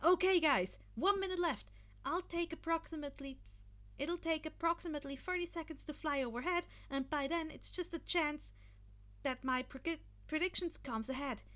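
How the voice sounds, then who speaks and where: A woman's voice: sits high, normal speed, fairly bright tone, pitched above the performer's own voice. A woman voicing an earnest, determined teenage boy who leads his team with sincere urgency in an anime fan story.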